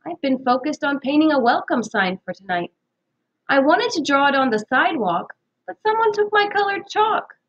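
A woman voicing an earnest girl at summer camp in a storybook, emphatic and expressive. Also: high voice, normal speed, dull audio, pitched above the performer's own voice. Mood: explaining.

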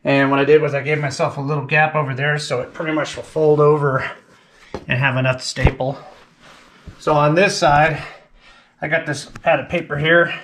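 A man with a fairly high voice talks through a hands-on project step by step, in a plain and practical way. He is recorded on a body-worn camera, and faint noise sits behind him. His voice sometimes moves farther from the mic. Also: steady tone, moderate pace, fairly bright tone. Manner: plain step-by-step explaining while working with tools. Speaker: channel host. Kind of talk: casual how-to narration. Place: driveway outdoors, then house kitchen floor. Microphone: body-worn action camera.

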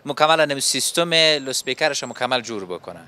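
A man's voice: mid-range voice, lively intonation, pushed and bright, clean tone. A young man with an Afghan Dari accent, reporting and interviewing on location for television with a handheld microphone. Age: young adult. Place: open-fronted electronics shop on a market street.

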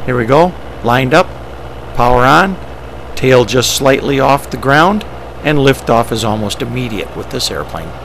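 Gravelly-voiced man explaining over engine noise. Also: mid-range voice, measured pace, poor audio. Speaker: pilot presenting ski flying. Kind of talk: instructional narration, explaining step by step. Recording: steady engine and vehicle noise.

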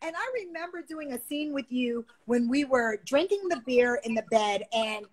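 Lively woman hosting a phone livestream. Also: high-pitched, normal speed, rough recording. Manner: animated, exclamatory, gestures a lot. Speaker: livestream host. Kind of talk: casual livestream chat. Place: bedroom, seated on a bed. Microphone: phone on a video call.

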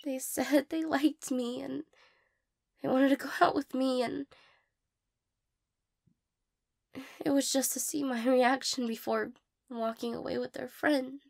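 A young woman playing a shy, insecure, tearful girl in an intimate romantic audio roleplay, hesitant, stammering and apologetic, with a breathy, creaky voice. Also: sits high, fairly even intonation, normal speed, darkish tone. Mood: hurt, downcast.

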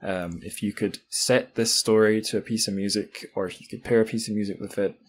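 A man's voice: lowish voice, steady tone, fairly quick, husky. A man hosting a podcast in a casual, conversational way. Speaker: podcast host.

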